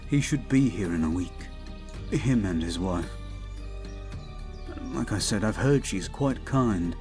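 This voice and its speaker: A man playing a devoted, protective young prince in an intimate audio roleplay, speaking gently and somewhat formally to his betrothed. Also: lowish voice, expressive, faint music behind. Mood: tender, reassuring. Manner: gentle, soothing, measured, slightly formal phrasing.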